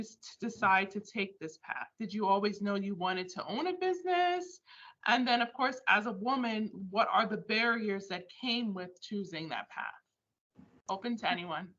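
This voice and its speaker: A woman's voice: on the high side, bright, forceful tone. A woman moderating a panel discussion in a friendly, encouraging way. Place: on a video call. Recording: muffled video call with faint hiss.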